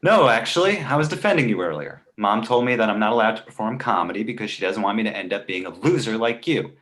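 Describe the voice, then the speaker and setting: A man voicing a precocious, manipulative baby boy with a smart-alecky comic delivery in an animated comedy read. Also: fairly low pitch, fast talker. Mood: smug, needling. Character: precocious, wisecracking, manipulative two-month-old baby boy.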